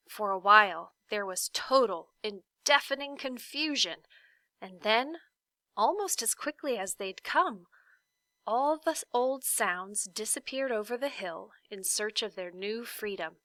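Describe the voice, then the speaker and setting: A woman reading a children's storybook aloud as a teacher, expressive and dramatic, with swells and hushes. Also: fairly high pitch, no echo.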